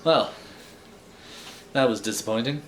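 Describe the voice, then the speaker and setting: A man's voice: mid-range voice, measured pace, noisy background. A man hosting a storybook read-aloud in a wry, theatrical way, with casual asides. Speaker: host reading stories aloud.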